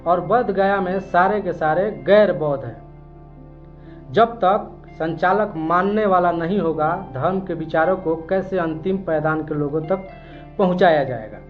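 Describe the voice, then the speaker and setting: A man's voice: high voice, muffled recording. A man delivering explanatory news commentary, arguing a case with rhetorical questions.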